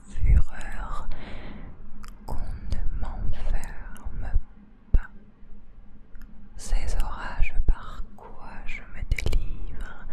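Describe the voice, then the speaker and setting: Female ASMR poetry reader: whispered, breathy delivery, extremely slow pace, deliberate interpretive phrasing. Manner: slow, deliberate, expressive phrasing with long pauses. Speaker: ASMR creator reading poetry.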